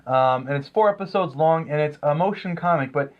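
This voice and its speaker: A man's voice: fairly high pitch, brisk. A man co-hosting a comics review show, chatting casually with hesitant, rambling phrasing. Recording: dull lo-fi sound.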